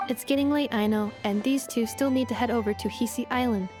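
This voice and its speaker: A woman voicing a composed, dutiful female robot caretaker in a video game, speaking in a formal, measured, deadpan way. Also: a little high, music in the background, close to an everyday voice. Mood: explanatory, calm.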